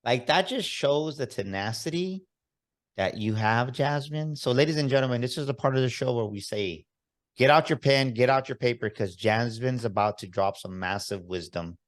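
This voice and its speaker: Male podcast host, upbeat hype-man delivery, average pitch. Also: normal speed. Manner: hype-man energy, enthusiastic and emphatic. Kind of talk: upbeat motivational podcast interview.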